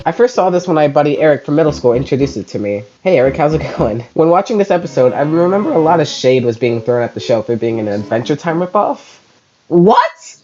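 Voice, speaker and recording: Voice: medium pitch, darkish tone, muffled recording. A host narrating a scripted cartoon review show with casual, opinionated asides in an informal, giggly way.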